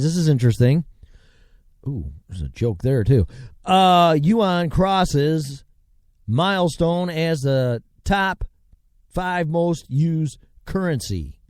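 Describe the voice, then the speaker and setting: A man's voice: medium pitch, darkish tone, no room sound, studio quality. A man hosting a show, ranting sarcastically and rambling through news items, swinging between mutters and outbursts. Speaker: show host. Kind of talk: ranting commentary and news reading.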